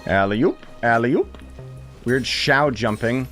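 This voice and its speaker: A man's voice: medium pitch, lively intonation, slow, fairly bright tone, soft background music. A man giving casual, slangy live commentary as he plays a game and chats with viewers. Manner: informal, slangy, exclaims and chuckles while playing. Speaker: gaming streamer.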